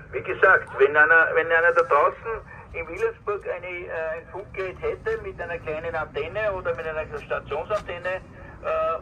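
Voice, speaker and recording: A man's voice: a little high, bright, forceful tone. A man with an Austrian accent chatting casually through a CB radio speaker. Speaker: CB radio operator running a gateway. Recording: muffled noisy radio speaker, picked up outdoors.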